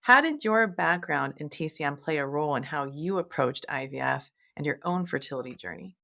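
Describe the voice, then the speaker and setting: A woman's voice: sits low, lively intonation, a little fast, vocal fry, pushed and bright. A woman hosting an interview, asking poised, prepared questions. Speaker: host.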